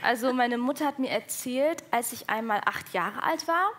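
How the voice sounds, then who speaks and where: A woman's voice: a little high, expressive, normal speed, a bit bright, slight background noise. A young woman with a Hessian accent, a comedian guest speaking bluntly and with self-mocking humor in an interview. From Hesse, Germany. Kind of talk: candid interview talk.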